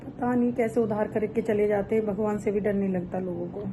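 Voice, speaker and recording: A woman's voice: medium pitch, flat delivery, brisk, noisy background, lightly acted. A woman playing an aggrieved, guilt-tripping shopkeeper on a prank call, plaintive, insistent and a little hesitant. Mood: reproachful, wounded. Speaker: prank caller. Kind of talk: improvised prank phone call.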